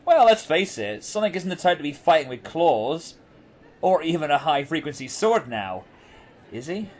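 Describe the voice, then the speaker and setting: A man's voice: fairly high pitch, big pitch swings, moderate pace. A man playing a chatty, wry investigator in a fan audio drama. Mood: explaining. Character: chatty, quippy male investigator.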